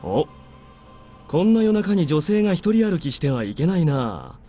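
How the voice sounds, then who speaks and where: A wry, laid-back military major from a visual novel. His voice is dark and mellow, and he talks briskly with smooth, easy authority. Soft music plays underneath. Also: fairly high pitch, very animated. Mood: teasing. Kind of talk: Japanese visual novel voice acting. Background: game background music.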